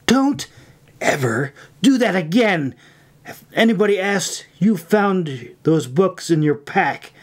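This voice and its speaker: A man's voice: medium pitch, expressive, a little slow, darkish tone, natural voice. A man voicing a stern, snappish young woman who trains dragon riders, scolding and commanding in a story reading. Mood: sharp. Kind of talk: dramatised fiction reading.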